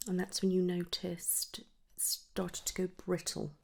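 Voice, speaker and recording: Husky, creaky whispering ASMR woman. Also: lowish voice, completely flat delivery, unhurried. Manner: soft, gentle, reassuring clinician speech, often dropping into whisper. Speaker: ASMR performer playing a trichologist at a hair clinic. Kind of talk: whispered ASMR medical roleplay.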